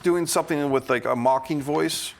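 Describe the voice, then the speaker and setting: A man working as a director, giving casual feedback to actors in a halting, thinking-aloud way. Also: on the high side, gravelly, a little hiss or hum.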